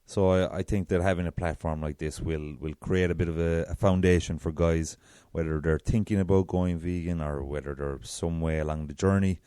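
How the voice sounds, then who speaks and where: An Irish man with a very deep voice hosting a solo podcast, chatty and self-deprecating. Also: steady tone, moderate pace, hardly any pauses, warm tone, clear, resonant tone, no echo, clean studio sound. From south of Ireland. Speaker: podcast host.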